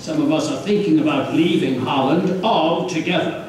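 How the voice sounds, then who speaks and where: A man's voice: a little high, moderate pace, darkish tone, slight background noise, natural voice. An actor playing a stern, disapproving Puritan man in a puppet show. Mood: grave. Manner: stern, scolding. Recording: very echoey room, distant mic.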